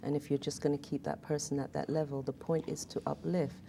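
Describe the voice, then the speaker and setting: A woman's voice: deep voice, flat delivery, a little fast, gravelly, warm tone, slight background noise. A woman with an English accent, hosting a casual interview, affirming and conversational. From England. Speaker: host.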